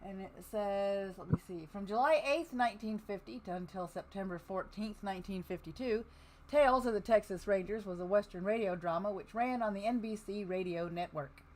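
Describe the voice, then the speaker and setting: Casual woman hosting, middle-pitched voice. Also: fairly quick, slight background noise, rough recording. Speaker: host of an old-time radio listening show. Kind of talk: casual hosting, reading show notes aloud.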